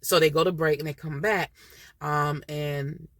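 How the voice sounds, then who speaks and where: A woman's voice: low voice, fairly even intonation, measured pace, a bit bright, no room sound, clean studio sound. A woman with a stuffy nose from a cold, chatting casually and laughing often while reviewing a reality TV episode. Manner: chatty, laughs often, loose slangy talk. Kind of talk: casual chat.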